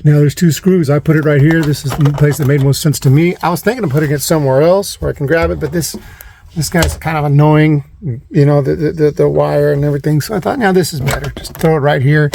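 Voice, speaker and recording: Male DIY vlogger, slightly high voice, informal rambling how-to delivery, handheld recording with faint noise and clicks. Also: fairly even intonation, quick pace, creaky, dark tone. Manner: informal, rambling, repeats himself. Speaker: vlogger showing a DIY installation. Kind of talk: casual hands-on how-to narration. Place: inside and around a parked van.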